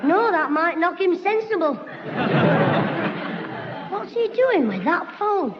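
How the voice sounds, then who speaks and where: Squeaky cheeky schoolboy, wisecracking, old hissy radio tape w/ audience laughing. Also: male voice, sing-song, takes it slowly, in a large hall, lo-fi sound, a loud crowd, full character voice.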